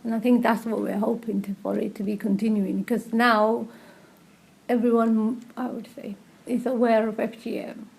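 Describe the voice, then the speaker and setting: A woman's voice: on the high side, level tone, normal speed, gravelly, darkish tone, low-quality recording. A woman who works as a community support worker, speaking hesitantly with frequent fillers and restarts in a recorded interview.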